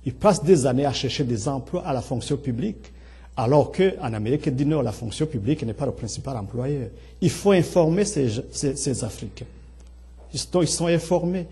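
A middle-aged man with a Burundian accent and a husky voice, giving an earnest, explanatory public speech with halting, repeated phrases. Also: mid-range voice, dark tone. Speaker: speaker at a public talk on integration. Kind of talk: public speech, partly improvised.

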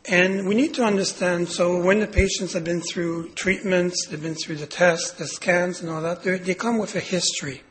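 A man with a raspy voice, hoarse from a cold, giving a clinical lecture with many pauses and fillers. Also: high voice, fairly even intonation, fairly bright tone. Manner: hesitant, many fillers and restarts. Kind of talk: medical lecture.

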